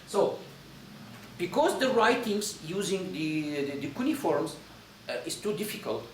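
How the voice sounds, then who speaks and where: Male lecturer, Syrian accent, native Arabic speaker, halting informal delivery; roomy echo, faint noise. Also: high voice, very animated, recorded from a distance. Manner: halting, repetitive phrasing with searching pauses.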